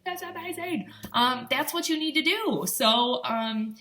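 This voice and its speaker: A woman's voice: high voice, lively intonation, unhurried, sharp tone. A young woman from Michigan with a light Upper Midwest accent, chatting encouragingly in a casual vlog with plenty of ums and likes. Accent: Upper Midwest American. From Michigan, USA. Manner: upbeat, encouraging, chatty with many fillers. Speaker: vlogger.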